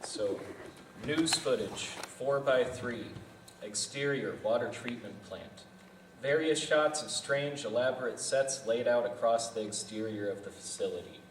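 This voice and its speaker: A man's voice: medium pitch, measured pace. A man reading stage directions at a live table read in a plain, matter-of-fact way. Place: festival venue room. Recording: echoey room, distant mic, faint noise.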